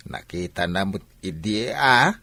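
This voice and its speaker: A man playing a stubborn, scolding father in an Ilocano audio drama, with a husky voice. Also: deep voice, lively intonation, unhurried, pushed and bright, dry recording, heavily acted. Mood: reminiscing, matter-of-fact. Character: stubborn, scolding father arranging his daughter's marriage.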